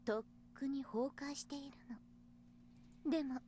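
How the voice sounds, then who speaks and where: A woman voicing a cruel young female mastermind in a Japanese visual novel, gloating with a breathy, drawn-out taunting purr. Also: high voice, fairly even intonation, dark tone, slight background noise, lightly acted. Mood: cold taunting.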